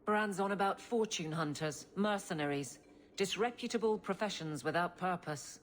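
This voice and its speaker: A woman's voice: on the low side, normal speed, a little hiss or hum, natural voice. A woman voicing a blunt, sincere, duty-bound guard captain in a video game, frank and firm. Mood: frank, exasperated. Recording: soft music behind the voice.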